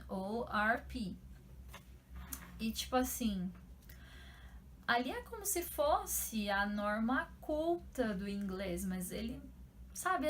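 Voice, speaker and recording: A woman's voice: fairly high pitch, a little hiss or hum. A young Brazilian woman with a Brazilian Portuguese accent, hosting her own channel and talking casually to the camera, full of rhetorical questions and tag words. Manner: chatty, rhetorical questions, frequent "né?" tags. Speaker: channel host.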